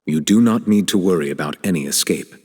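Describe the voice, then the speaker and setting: Game casting: aloof, grave chief justice, deep voice in a reverberant hall. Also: male voice, lively intonation, brisk, a little mellow, breathy edge. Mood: grave authority. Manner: formal, grave, detached. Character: stern, aloof male chief justice, dignified. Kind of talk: dramatic video game voice acting.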